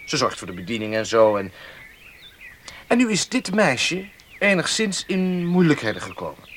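A scheming young gentleman in a radio drama. His fairly high voice swoops dramatically as he coaxes and condescends, pausing to pick his words. The recording has a faint noise behind it. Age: young adult. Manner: coaxing, hesitant pauses, emphatic swoops. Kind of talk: radio play acting. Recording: faintly noisy old radio play recording.

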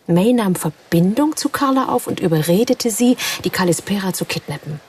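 A hard, businesslike woman agent, in a sci-fi radio crime play. The voice sits at a medium pitch and is matter-of-fact and clipped. It comes from slightly off the mic. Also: lively intonation, normal speed, a little mellow, husky, far from the mic. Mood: cold, deducing. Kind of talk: radio drama dialogue.

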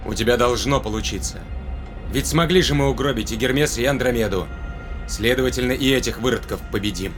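Music plays clearly behind an actor as a starship captain in a sci-fi audio play. His voice is mid-pitched, stern and intense, a commanding man who is stubborn and shaken. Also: a little fast, natural voice. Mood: resolute. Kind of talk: dramatic audio play acting. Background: clear background music.

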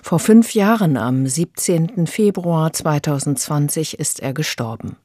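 Low-voiced woman radio presenter, close and dry. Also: animated, unhurried, warm tone, no echo. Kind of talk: scripted radio introduction.

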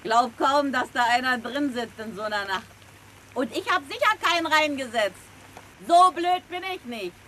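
An actress playing a cocky, slangy widow of a crook who blackmails a murderer, in a vintage radio play. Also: female voice, high-pitched, expressive, moderate pace, pushed and bright, a little hiss or hum. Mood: amused.

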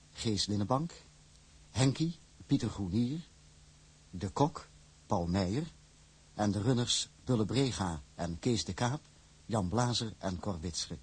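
A man announcing in a measured, formal way, reading scripted credits. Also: fairly low pitch, breathy edge.

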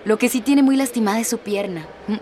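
A woman's voice: fairly high pitch, a little fast, a little mellow, natural voice. An actress playing a composed, caring emergency colleague of a policeman in a radio drama. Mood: concerned. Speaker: voice actor. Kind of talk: Spanish-language radio drama acting. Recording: noisy radio drama background.

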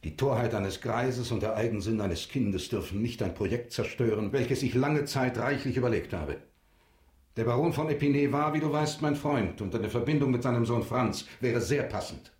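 An actor in a German radio drama playing a stern, embittered, middle-aged patriarch and prosecutor, proud, rigid and formal. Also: male voice, medium pitch, moderate pace, darkish tone, reverberant, lightly acted. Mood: stern, indignant. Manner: formal, severe.

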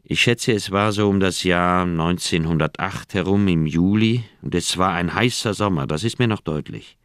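An actor playing an older, thoughtful doctor, recounting memories in a measured way in a radio play. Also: male voice, deep voice, smooth, no room sound, clean studio sound, lightly acted. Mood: reminiscing. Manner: measured, deliberate storytelling. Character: older male Swiss doctor, thoughtful, candid old friend. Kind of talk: radio play dialogue, reminiscing narration.

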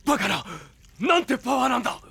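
An actor playing a smug, sinister young henchman in an audio drama, taunting with deliberate theatrical delivery. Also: very high voice, big pitch swings, creaky, bright, forceful tone, breathy edge, no room sound, full character voice. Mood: shocked. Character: smug, sinister young male villain, coldly loyal henchman.

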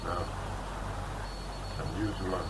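Cocky, low-voiced police inspector. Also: male voice, fairly even intonation, unhurried, very noisy. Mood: dry, controlled. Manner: colloquial, self-satisfied, bossy. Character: cocky, hard-boiled male police inspector, slangy and smug. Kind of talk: Dutch radio drama acting.

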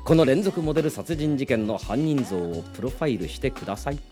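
Man as a bright, lively young detective. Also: medium pitch, brisk, over music, natural voice. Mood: businesslike.

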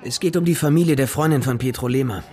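An actor playing a glib, streetwise private detective in radio drama dialogue, brisk, casual and probing. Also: male voice, mid-range voice, darkish tone, faint music behind, lightly acted.

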